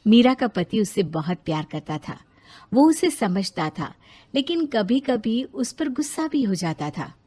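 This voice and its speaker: Woman reading a moral story, high voice, lots of up and down, narrator style. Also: very animated, unhurried, warm tone, breathy edge.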